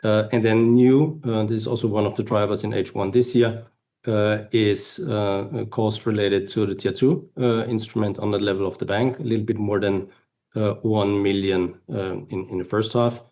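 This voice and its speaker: Low-voiced, halting man presenting financial results. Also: steady tone, mellow. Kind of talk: earnings call presentation and answers.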